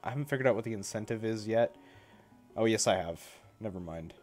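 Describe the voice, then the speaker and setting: A man's voice: on the low side, a little fast, a little mellow, soft background music. A young man chatting casually on a live stream, a bit congested from a cold, rambling and correcting himself as he goes.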